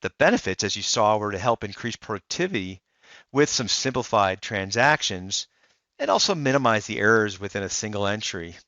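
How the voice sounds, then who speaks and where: A man walking through a software demo in a relaxed, explanatory way, with frequent "uh" fillers. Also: fairly low pitch, a little fast, no room sound. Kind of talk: screen-recorded software walkthrough. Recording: dull dry voice-over.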